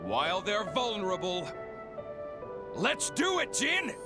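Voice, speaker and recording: A man's voice: sits high, wildly swooping pitch, slow pace, heavily acted. An actor playing a cruel, mocking, theatrical male villain in a video game cutscene. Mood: savage glee. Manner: taunting, sneering, theatrical. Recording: loud background music.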